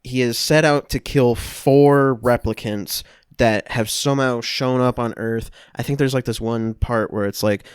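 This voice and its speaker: A man co-hosting a podcast, casually recapping a film to friends in a halting, conversational way. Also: mid-range voice, fairly even intonation, clean tone, no room sound, clean studio sound. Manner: halting, loosely conversational storytelling. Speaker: podcast co-host.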